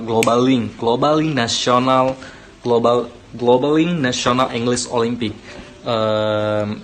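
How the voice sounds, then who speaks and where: A man's voice: mid-range voice, a little hiss or hum, low-quality recording. A young man from Aceh talking casually to his viewers, rambling with many fillers and self-corrections, giving encouragement. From Aceh, Indonesia. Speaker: vlogger. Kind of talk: casual talk to camera.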